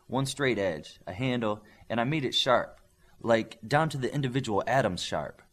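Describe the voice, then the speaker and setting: A man's voice: medium pitch, animated, normal speed, close to an everyday voice. A man voicing an eager young boy superhero who builds things, in an audiobook. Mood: matter-of-fact.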